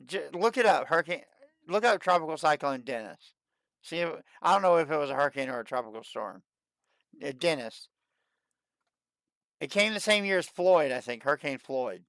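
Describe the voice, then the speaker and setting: A man in his late thirties hosting a livestream, chatting casually and excitedly, and often repeating himself. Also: fairly high pitch, normal speed, pauses often, no room sound. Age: 30s. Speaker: livestream host. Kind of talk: casual chat.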